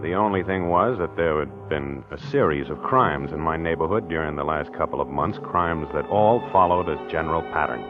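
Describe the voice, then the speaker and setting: An American actor playing a jealous, obsessive wife-killer in a confessional, insistent 1940s radio mystery drama. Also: male voice, extremely low pitch, moderate pace, hardly any pauses, music in the background. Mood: calm, calculating. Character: jealous, obsessive man who murdered his wife, narrating his crime. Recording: dull vintage 1940s radio recording.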